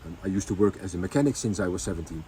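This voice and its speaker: A man's voice: sits low, very fast, mellow, a little hiss or hum. A man telling his family's story in a casual chat, with many ums and restarts. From Netherlands. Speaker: vlogger.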